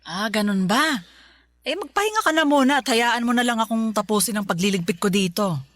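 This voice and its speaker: An actress playing a loving, selfless middle-aged mother in a Filipino radio drama, reassuring and unhurried. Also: on the high side, animated, fairly bright tone, no room sound. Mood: gently insistent. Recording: music bed under the voice.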